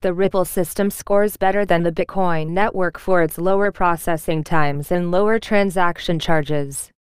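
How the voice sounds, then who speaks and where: A woman reads an article aloud in a steady, even way. Her voice is lowish, very smooth and clean. The recording is close and dry. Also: moderate pace, no room sound. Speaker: narrator reading an article. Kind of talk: read-aloud article narration.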